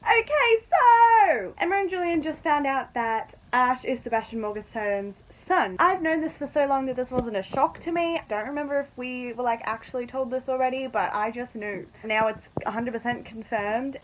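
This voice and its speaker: High-voiced woman gushing on noisy phone audio. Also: expressive, normal speed, few pauses. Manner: gushing fan talk with slangy, sarcastic asides. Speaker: book reviewer vlogger. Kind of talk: casual reaction commentary, retelling a novel.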